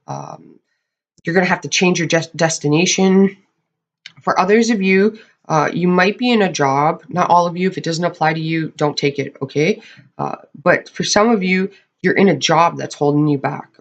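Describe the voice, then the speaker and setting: A tarot reader with a hoarse, crackling voice from losing it, giving a casual monthly reading to camera and often checking in with the viewers. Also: medium pitch, normal speed, highs cut off. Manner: chatty, often checks in with "okay?"; clears throat often.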